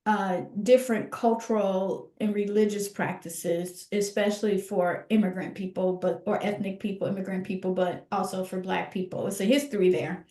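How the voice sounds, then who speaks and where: A woman co-hosting a scholarly podcast, talking reflectively and emphatically in a conversational way, often halting and repeating words for emphasis. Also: mid-range voice, steady tone, warm tone, reverberant.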